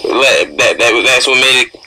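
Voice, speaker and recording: Very sharp, bright American male radio host. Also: mid-range voice, expressive, unhurried, a little hiss or hum, poor audio. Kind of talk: casual talk radio hosting.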